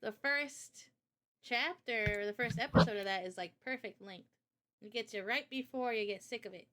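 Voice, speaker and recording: A woman's voice: fairly high pitch, normal speed, heavy background noise. A woman in a casual interview chat about games, speaking informally and with opinions as the host. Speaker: host and game developer.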